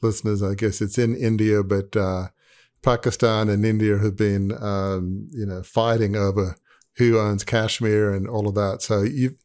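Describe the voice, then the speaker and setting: A man's voice: lowish voice, little pitch movement, a little slow, darkish tone. Older man host, hesitant questions. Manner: rambling, hesitant questions with fillers. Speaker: podcast host. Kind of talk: interview questions. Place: home office. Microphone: earbuds on a video call.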